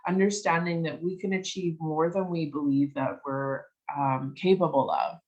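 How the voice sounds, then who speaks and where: Slightly croaky woman leading a spiritual circle. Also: low-pitched, fairly even intonation, normal speed, lo-fi sound. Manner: gentle, reflective, with frequent "um" and restarts. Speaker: spiritual teacher leading a new moon circle. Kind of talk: casual workshop talk and reading aloud.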